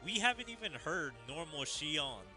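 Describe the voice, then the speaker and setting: A man's voice: fairly high pitch, sing-song, normal speed, sharp tone. A man giving casual commentary as he plays through a story game, with game music behind him. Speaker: gaming streamer reading story dialogue aloud.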